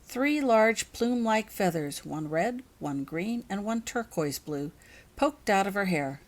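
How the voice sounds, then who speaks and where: A woman's voice: medium pitch, lively intonation, measured pace. A woman reading her own fantasy fiction aloud as a scripted audiobook narration.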